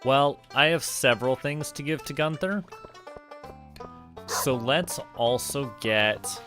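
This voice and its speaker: A man's voice: mid-range voice, slow, a bit bright. A man from Canada chatting casually as a game streamer, thinking aloud in a playful way. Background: music.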